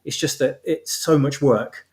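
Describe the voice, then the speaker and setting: A man's voice: on the high side, a little slow, darkish tone. A man speaking as a guest creator in a casual discussion, often rephrasing as he works toward his point. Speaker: guest, audio drama creator.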